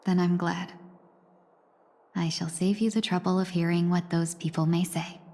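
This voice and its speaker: A graceful young heroine from a video game, poised and quietly resolute. Her voice sits a little low with a soft, husky breathiness. She phrases things politely, with a gentle wryness. Long reverb trails her words. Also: little pitch movement, normal speed. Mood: calm resolve. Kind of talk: scripted video game cutscene acting. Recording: long reverberant hall echo.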